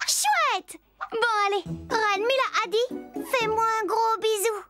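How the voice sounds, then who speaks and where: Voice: extremely high pitch, slow. A performer voicing a cheerful, chatty little child telling stories in a French children's cartoon, with music behind. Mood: affectionate. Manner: chatty, giggly, affectionate.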